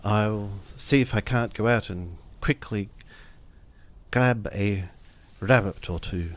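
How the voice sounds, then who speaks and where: A man's voice: fairly low pitch, slow. A man playing a polite, formal samurai retainer in a tabletop game. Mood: decided. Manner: courteous, formal.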